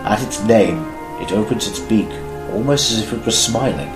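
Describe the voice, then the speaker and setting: A man's voice: fairly low pitch, moderate pace. A man reading scripted narration for an amateur audio drama. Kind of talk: scripted fan-fiction narration. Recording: echoey room with faint music.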